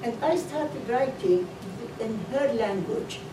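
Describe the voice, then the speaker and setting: A middle-aged woman from Iraq telling her family cooking story on a panel, slowly and with many fillers. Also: fairly high pitch, lively intonation, background noise. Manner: slow, halting, many fillers. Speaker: food truck co-owner, guest. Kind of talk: panel talk, personal story. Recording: roomy, distant mic, loud ambience.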